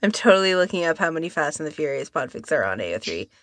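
A woman chatting casually as a podcast co-host, informal and quick to interject. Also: deep voice, big pitch swings, dry recording. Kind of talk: casual chat.

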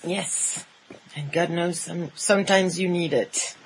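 A woman's voice: on the low side, slow, husky, rough recording. A woman co-hosting a fan podcast, presenting items in a conversational way. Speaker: podcast co-host.

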